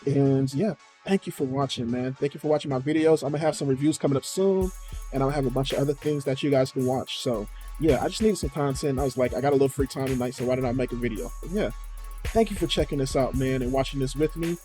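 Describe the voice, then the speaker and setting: Mellow American man, casual reaction host. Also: medium pitch, fast, soft background music. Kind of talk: casual chat, reacting to a game showcase.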